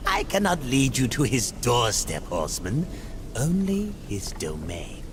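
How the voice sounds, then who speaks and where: An actor playing a cunning, greedy demon merchant in a video game, with an oily, theatrical, drawn-out delivery. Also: male voice, medium pitch, wildly swooping pitch, background noise. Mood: cryptic. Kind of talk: dramatic video game cutscene acting.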